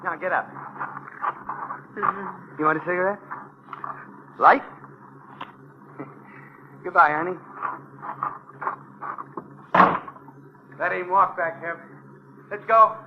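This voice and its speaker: An actor playing a sadistic, menacing gangster who taunts and needles in a 1950s radio drama. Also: male voice, high voice, steady tone, slow, pauses often. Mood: mocking menace. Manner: mocking, needling, repeats himself tauntingly. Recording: dull, noisy old radio recording.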